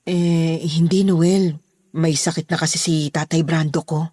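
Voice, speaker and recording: A woman's voice: low voice, steady tone, unhurried, warm tone, no room sound. A woman with a Filipino accent playing a protective, bossy daughter running a household in a horror audio drama. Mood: guarded.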